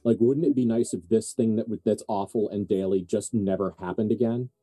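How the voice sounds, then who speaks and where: Dark-voiced man narrating a tabletop game. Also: on the low side, steady tone, brisk, no echo. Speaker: game master.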